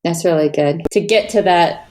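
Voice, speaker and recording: A woman co-hosting a podcast, encouraging and quick to affirm the guest. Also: on the low side, a little mellow, poor audio. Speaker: podcast co-host. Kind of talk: podcast interview.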